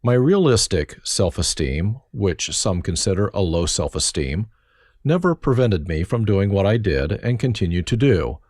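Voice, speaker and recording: A man reads his own life story, his low voice rising and falling with a lot of movement. His humor is dry and self-mocking. The sound is dry and close, with no room around it. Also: very animated, moderate pace, darkish tone, no room sound. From Germany. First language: German. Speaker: autobiography narrator. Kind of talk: reflective first-person memoir reading.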